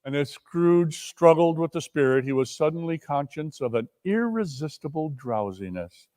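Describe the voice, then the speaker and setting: Male narrator, fairly high pitch, dramatic storybook reading. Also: lively intonation, normal speed, dark tone, big-room sound.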